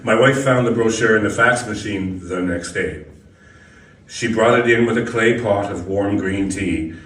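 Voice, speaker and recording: Man, low pitch, literary reading, occasional stumbles, roomy echo, faint background noise. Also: unhurried, fairly bright tone. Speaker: reader of a short story. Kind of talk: scripted narration, live literary reading. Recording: echoey room, distant mic, background noise.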